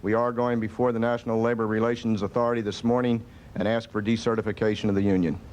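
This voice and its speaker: A man's voice: little pitch movement, quick pace, a little mellow. An American man, a government official, answering press conference questions in a firm, matter-of-fact way. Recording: faint rumbly background noise.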